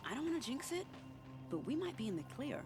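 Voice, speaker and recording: A sly, theatrical, swooping spy, music behind. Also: female voice, high-pitched, very animated, fast talker. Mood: defiant, playful. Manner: arch, teasing, flourishing delivery. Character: sly, witty, theatrical woman spy in a fantasy land. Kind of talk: video game cutscene acting.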